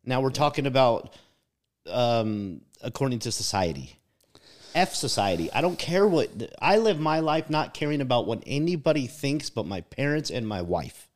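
A man's voice: mid-range voice, big pitch swings, normal speed. A man co-hosting a casual podcast conversation, talking in a reflective, emphatic way and leaning on "like" and "right?" to drive his points home.

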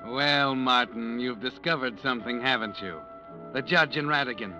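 An actor playing a knowing, taunting narrator in an old-time radio mystery, insinuating and ominous, on a dull vintage recording. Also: male voice, mid-range voice, normal speed, loud music behind the voice, close to an everyday voice. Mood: accusing. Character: knowing, taunting male narrator addressing the guilty man.

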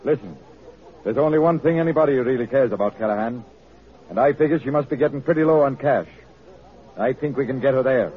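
An actor playing a cynical, self-assured big-city political boss with an American accent and tough, slangy speech in an old radio drama. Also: male voice, medium pitch, a little fast, natural voice. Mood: scheming. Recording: tinny, narrow old radio recording.

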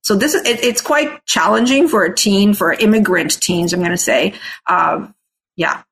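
A middle-aged woman musician who grew up in Toronto with Italian as her first language, answering interview questions in a halting, searching way with many restarts. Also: medium pitch, vocal fry, a bit bright. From Canada, raised in Toronto. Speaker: singer and bassist, interview guest. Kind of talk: casual interview talk.

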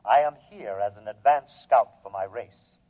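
A man's voice: medium pitch, moderate pace, lightly acted. Man acting a coldly polite, superior humanoid robot. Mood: imperious, calm. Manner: formal, precise, matter-of-fact speech without contractions. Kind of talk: old-time radio drama acting. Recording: dull, lo-fi old radio recording.

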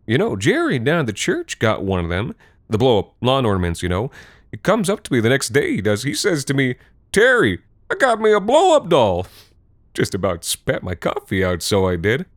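A man's voice: medium pitch, wildly swooping pitch, normal speed, no echo, studio quality, pitched above the performer's own voice. A man doing a crude, folksy dad. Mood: joking. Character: crude folksy middle-aged dad, joking.

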